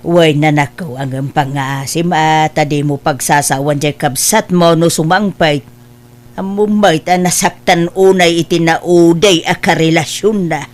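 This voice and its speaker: A woman's voice: sits low, fairly even intonation, a little slow. A woman playing a disapproving mother who scolds and questions a grown son in an Ilocano family audio drama. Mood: troubled. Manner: reproachful, questioning. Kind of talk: Ilocano radio-style family drama acting.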